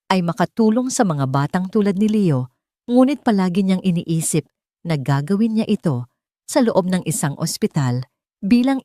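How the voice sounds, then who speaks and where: A woman's voice: low-pitched, a little mellow, no echo, studio quality. A woman with a Filipino accent reading a scripted dramatic story as an audiobook narrator.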